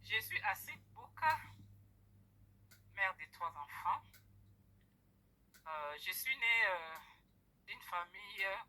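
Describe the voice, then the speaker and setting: A middle-aged woman from the Democratic Republic of the Congo giving a personal testimony to an audience, hesitant, with many pauses and fillers. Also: medium pitch, sing-song, bright, forceful tone. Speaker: guest speaker. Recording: tinny phone-like recording.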